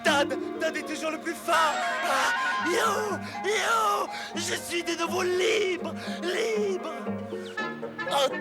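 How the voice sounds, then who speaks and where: An actor voicing a boastful, scheming toad who poses as a washerwoman in an animated film, theatrical and exclamatory, with music underneath. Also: very high voice, very slow, exaggerated voice. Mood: gleeful boasting. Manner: exclamatory, self-congratulating, theatrical. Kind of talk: animated film character acting.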